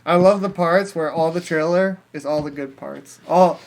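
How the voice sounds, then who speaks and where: A high-voiced man talks casually as a podcast co-host. He is blunt and jokey, dismissive in his phrasing and free with swearing. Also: normal speed, a little mellow, rough recording. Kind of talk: casual chat.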